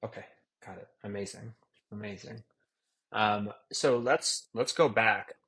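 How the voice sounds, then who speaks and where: A man hosting an interview podcast, asking questions and reacting with quick enthusiasm. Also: lowish voice, steady tone, a bit bright, husky. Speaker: podcast host.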